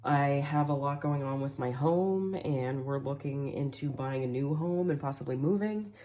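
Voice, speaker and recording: A woman reading tarot cards as a casual voice-over, sounding a little nasal and hoarse from allergies, chatty and full of "like" and "um". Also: very deep voice, moderate pace, dark tone. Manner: chatty, filler-heavy, frequent "like" and "um". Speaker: tarot reader. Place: home, voice-over behind the camera.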